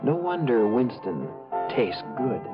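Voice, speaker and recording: An American man delivers a fifties radio commercial with emphatic, salesman-like phrasing. His pitch is middling, and loud music plays behind him on a muffled old recording. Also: very animated, slow pace. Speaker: commercial announcer. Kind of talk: 1950s radio cigarette commercial.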